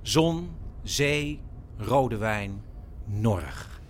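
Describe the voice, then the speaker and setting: Dry, teasing grown son. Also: male voice, on the low side, extremely slow, background noise. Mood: mocking. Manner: deadpan, ironic. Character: dry, teasing adult son of bickering family. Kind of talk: audio drama acting.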